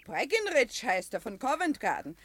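A woman's voice: high voice, sing-song, moderate pace, a bit bright, heavily acted. An actress playing a cheerful, chatty woman in a 1950s radio drama. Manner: chatty, easygoing, laughing. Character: cheerful homely woman, the thief's sister.

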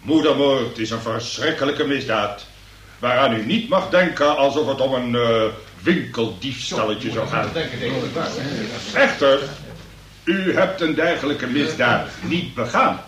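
An actor in a radio play as a pompous examining magistrate, formal, ponderous and wordy. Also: male voice, mid-range voice, animated, a little slow, fairly bright tone. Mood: stern, lecturing. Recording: roomy, distant radio play recording.

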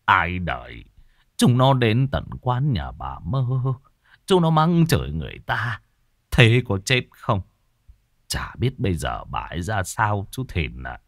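A man voicing an old village widower who speaks sadly and haltingly, ashamed of his children. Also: lowish voice, huge pitch swings, fairly bright tone, no echo, clean studio sound, full character voice. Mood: mournful. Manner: mournful, halting, stammering.

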